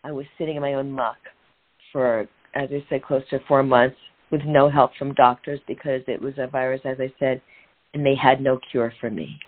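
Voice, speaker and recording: A woman's voice: very deep voice, steady tone. Earnest woman guest on a tinny line. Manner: earnest and reflective, speaks in short phrases with pauses. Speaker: guest, author and transformational coach. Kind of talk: candid personal story in a podcast interview.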